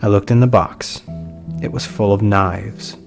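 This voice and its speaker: Low-voiced man narrating horror. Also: fairly even intonation, measured pace, vocal fry. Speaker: narrator reading a horror story. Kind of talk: scripted narration. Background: soft music.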